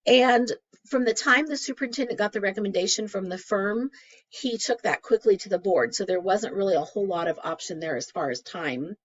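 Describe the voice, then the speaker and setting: A woman with a crackly, hoarse voice walks through a case study for a class in a loose, conversational way, often pausing on "um". Her pitch sits in the middle range. The recording sounds dull and lo-fi. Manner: conversational, many "um" fillers. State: hoarse from a cold. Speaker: graduate student presenting a case study.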